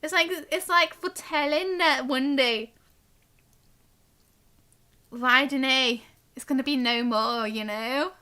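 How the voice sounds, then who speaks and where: A woman game streamer giving live commentary and reading game text aloud in a chatty, expressive way. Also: high voice, takes it slowly, pauses often, clear, resonant tone. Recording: game music behind the voice. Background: game music.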